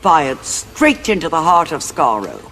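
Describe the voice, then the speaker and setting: A woman playing a cold, calculating senior Time Lord strategist in an audio drama, with a measured, imperious delivery. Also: deep voice, very animated, a bit bright, a little hiss or hum, heavily acted. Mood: fanatical.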